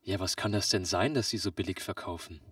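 Male actor as naive radio-play customer: lowish voice, wide-eyed, prone to loud astonishment, close dry sound. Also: fairly quick, no echo, recorded from a distance. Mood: puzzled. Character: naive, excitable, easily amazed male customer. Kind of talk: comic sketch audio play.

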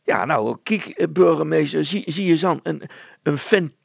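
Befuddled, insistent storybook village woman, played by a man: high, creaky delivery, rambling, repetitive, emphatic. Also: lively intonation, a little slow, no room sound, exaggerated voice. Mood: muddled, insistent. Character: muddled, slightly deaf village woman, stubbornly insistent. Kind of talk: children's story character voice.

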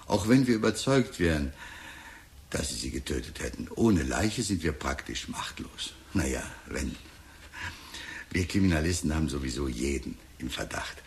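An actor playing a talkative, sly police detective in a radio drama, deliberate and insinuating, with falsely sympathetic musing. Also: male voice, very deep voice. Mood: insinuating, measured. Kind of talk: German radio drama acting.

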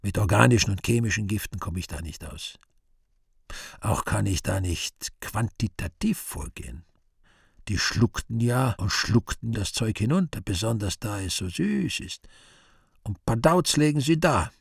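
A vain, scheming devil in a radio play, brooding aloud to himself. The voice is gravelly and husky, swinging widely from low muttering to high exclamation. It is recorded dry and close. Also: male voice, expressive, measured pace, dry recording, exaggerated voice. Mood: scheming, musing. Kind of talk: theatrical radio play monologue.

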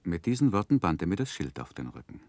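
Deep-voiced, reflective narrator. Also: male voice, big pitch swings, fast, a little mellow, no room sound. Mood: descriptive. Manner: literary, reflective. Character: grown man recalling his sorrowful boyhood. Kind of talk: 1960s German radio drama narration.

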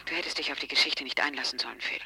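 A woman's voice: rumbling low, very fast, bright, forceful tone, breathy edge, full character voice. An actress playing a concerned, dryly teasing woman, the detective's confidante in a German radio drama. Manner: caring but dryly teasing.

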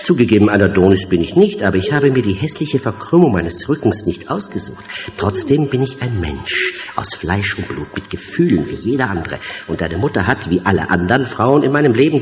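Sadistic hunchbacked scientist for horror audio: low, silkily polite, theatrical, swooping in pitch, in an echoing hall. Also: male voice. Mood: bitter, vengeful. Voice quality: calm, deep. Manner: mock-courteous, taunting, theatrical. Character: hunchbacked vengeful mad scientist, sadistically gloating. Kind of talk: horror audio drama acting. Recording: dull sound in a long echoing hall.

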